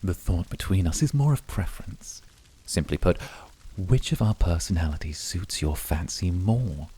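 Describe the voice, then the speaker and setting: A man playing a cool, courtly incubus demon in an ASMR roleplay, formal and measured, devoted yet dryly teasing. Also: deep voice, lively intonation, warm tone, breathy edge, exaggerated voice, poor audio. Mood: cool, composed.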